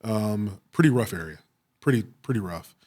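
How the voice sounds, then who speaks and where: A middle-aged American man from Texas answering interview questions with personal stories, speaking reflectively with frequent pauses and repeated phrases. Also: fairly low pitch, expressive, a little mellow. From Waco, Texas, United States. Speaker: police chief, interview guest.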